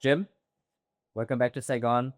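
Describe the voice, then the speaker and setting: A man's voice: medium pitch, fairly even intonation, normal speed, soft background music. A man interviewing a guest with short, prompting questions.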